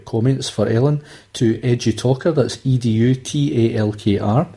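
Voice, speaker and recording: A man hosts a radio interview in a mellow, relaxed voice. He is friendly and welcoming but often pauses on uhs as he introduces the guest and reads out contact details. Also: mid-range voice. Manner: hesitant, with many uhs. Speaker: radio show host. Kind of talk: hosting an interview.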